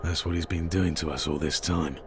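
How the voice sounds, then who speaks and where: An actor playing a grieving, brooding warrior in a fantasy game, restrained and somber. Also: male voice, rumbling low, steady tone, fairly quick, noisy background. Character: somber, grieving male warrior bent on revenge. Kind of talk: dramatic fantasy game acting. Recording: loud music under the voice.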